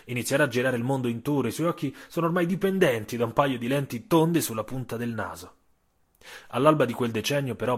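A man narrating a scripted audio story in a theatrical way, with emphatic phrases, deliberate pauses and incantatory repetition. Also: mid-range voice, slightly rough. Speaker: author and narrator.

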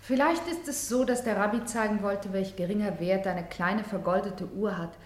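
Thoughtful, conciliatory woman played by an actress for radio drama; medium pitch, measured questioning delivery, roomy echo. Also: lightly acted. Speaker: actor in a radio play. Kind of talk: radio-play dialogue. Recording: echoey room.

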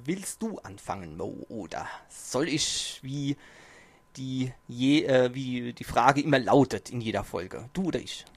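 A man's voice: mid-range voice, very animated, a little slow, husky, no room sound. A man hosting a casual podcast from a small home studio, chatting in a jokey, self-mocking way, his mic distance shifting now and then. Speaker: podcast host. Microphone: home mic, distance varies.

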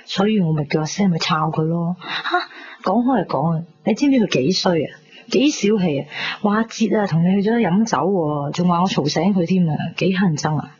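An actress playing a brash, gossipy young best friend in a radio drama, chatty and teasing with a Hong Kong Cantonese accent. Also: female voice, fairly low pitch, a little fast, darkish tone, natural voice. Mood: gossipy, indignant. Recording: dull lo-fi 1990s radio with hiss.

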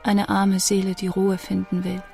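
A woman's voice: mid-range voice, monotone, moderate pace, creaky, darkish tone, soft background music, lightly acted. An actress playing a dying young woman musician who speaks in a grave, introspective, bitter way, in a radio drama monologue. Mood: anguished, bitter.

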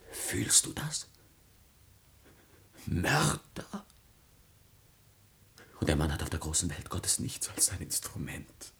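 A man's voice: sits low, vocal fry, fairly bright tone, heavily acted. An actor playing an anguished, jealous young nobleman in a declamatory classical tragedy for radio, moving between whispers and outcries. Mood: dark brooding.

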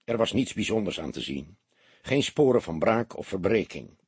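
A man narrating a literary crime story as an audiobook, in an expressive storytelling manner. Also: lowish voice, moderate pace, breathy edge, no echo.

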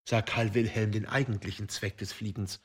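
An actor playing a scholarly male storyteller with a husky voice, giving measured exposition in a literary radio play. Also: lowish voice, level tone. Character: husky scholarly storyteller of absurd flight tale.

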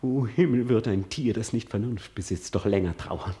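An actor playing a grieving, bitter young prince in a classical radio drama, with deliberate declamation that moves between hushed and forceful. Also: male voice, lowish voice, lightly acted. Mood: anguished, disgusted. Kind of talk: classical German stage-verse radio drama acting.

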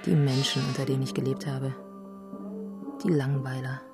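A woman's voice: very deep voice, level tone, moderate pace. A woman playing a blunt, sardonic composer in a German radio drama, speaking in wry, clipped, philosophizing phrases. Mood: wistful, dry. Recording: music behind the voice.

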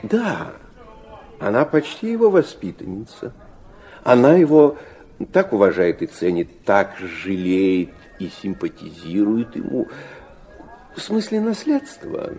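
A deep-voiced, worldly middle-aged gentleman in a radio drama. He talks with mocking aristocratic irony, his intonation leaping about theatrically. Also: huge pitch swings, unhurried. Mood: worldly, condescending. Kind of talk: Russian radio drama acting.

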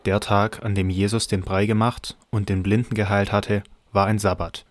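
A man reading scripted scripture narration for an audio drama in a measured, matter-of-fact way. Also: lowish voice, flat delivery.

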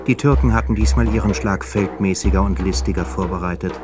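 A man giving measured, scripted literary narration for a radio drama. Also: low-pitched. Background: music and percussion.

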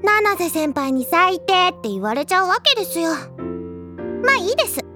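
A woman's voice: very high-pitched, very animated, moderate pace, music in the background. A woman playing a cute younger schoolgirl, polite and playful, in an anime-like Japanese audio drama. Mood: playfully smug. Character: cute, teasing younger schoolgirl masking her true feelings.

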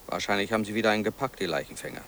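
Actor as a quick, offhand gossiping man. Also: fairly low pitch, fairly even intonation, fairly bright tone, a little hiss or hum, close to an everyday voice. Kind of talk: German radio drama acting.

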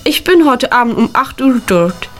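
A woman's voice: on the high side, big pitch swings, normal speed, soft background music. An actress playing an earnest, determined boarding school girl in a radio play. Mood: thoughtful, reading aloud. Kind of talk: German radio play acting.

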